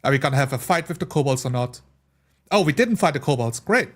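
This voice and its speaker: A man's voice: mid-range voice, animated, quick pace, a bit bright. A man chatting casually as a tabletop player, explaining his points and voicing hypothetical thoughts. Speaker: tabletop role-playing player. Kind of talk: casual chat.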